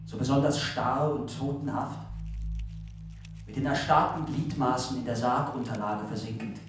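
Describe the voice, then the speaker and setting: A man acts out a grave radio-play narrator who broods over death in measured, literary phrases. His voice sits far from the microphone in a huge echo, with clear music underneath. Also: mid-range voice, level tone, lightly acted. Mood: solemn, haunted. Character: solemn man reflecting on death and the dead. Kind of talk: literary narration and recitation in an audio drama. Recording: very echoey, distant, music behind.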